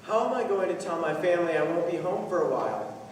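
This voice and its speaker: A man's voice: high-pitched, lively intonation, quick pace. A man on stage playing a worried, loving father stranded abroad. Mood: anguished. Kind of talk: stage drama dialogue. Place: on stage in a large hall. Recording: echoey hall from far off, faint noise.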